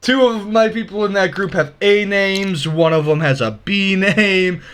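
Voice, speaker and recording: A man's voice: high-pitched, a little slow, a bit bright, a little hiss or hum. A man running a tabletop role-playing game, talking casually with lots of fillers. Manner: easygoing, filler-heavy. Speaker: game master.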